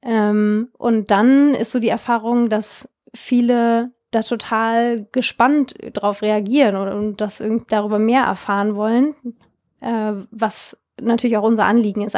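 Young German woman, company founder, chatty interview guest, voice a bit high. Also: a little mellow. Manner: chatty, many fillers and restarts. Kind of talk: casual interview.

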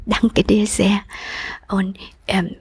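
A middle-aged Buddhist nun from Vietnam with a Vietnamese accent, answering interview questions in a soft, gentle, whispery way. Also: female voice, mid-range voice, little pitch movement, extremely slow, husky. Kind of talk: calm reflective interview answers.

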